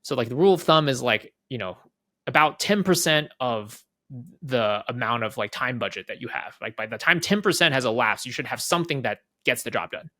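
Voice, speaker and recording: Fast-talking man explaining, close dry sound. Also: medium pitch, lively intonation, sharp tone, dry recording. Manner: conversational, thinks aloud, often asks "right?". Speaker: head of product, interview guest. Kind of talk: casual interview explanation.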